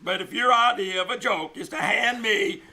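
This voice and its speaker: A man's voice: high-pitched, moderate pace, sharp tone, full character voice. A man playing a pompous, easily outraged boss with an emphatic delivery, in a live old-time radio comedy. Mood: indignant. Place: small-town theater stage, live performance. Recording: noisy live theater stage recording.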